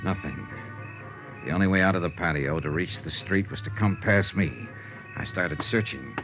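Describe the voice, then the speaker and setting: A man's voice: deep voice, normal speed, natural voice. An American actor playing a tough, shrewd male investigator in a 1950s radio noir serial, with clipped first-person delivery. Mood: tense, measured. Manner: clipped, hard-boiled delivery. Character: tough, shrewd male freelance insurance investigator, hard-boiled. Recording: muffled vintage radio recording, orchestral music.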